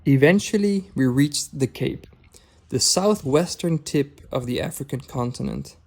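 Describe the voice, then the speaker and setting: A man whose first language is Dutch, narrating his travel vlog in a calm, measured, scripted voice-over. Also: mid-range voice, vocal fry. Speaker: travel vlogger.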